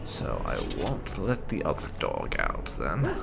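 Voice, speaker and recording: Deep creaky-voiced man commentating on a game. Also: wildly swooping pitch, measured pace. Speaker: gaming channel host. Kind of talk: casual roleplay game playthrough commentary. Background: game music.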